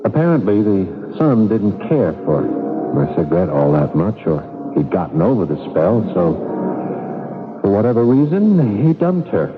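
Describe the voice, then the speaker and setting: A skeptical young archaeologist with a low voice that swings widely as he talks. He is casual and halting, troubled by grief, in an old radio drama. The muffled vintage recording has music behind it. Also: sing-song. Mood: weary, reflective. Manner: colloquial, hesitant, halting storytelling. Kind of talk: 1970s radio drama acting.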